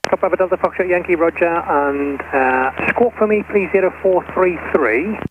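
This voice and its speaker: A man's voice: high-pitched, normal speed. A man working as an air traffic controller, giving procedural instructions over the radio in a clipped, courteous manner. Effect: air traffic radio transmission. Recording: narrowband aviation radio with clear noise.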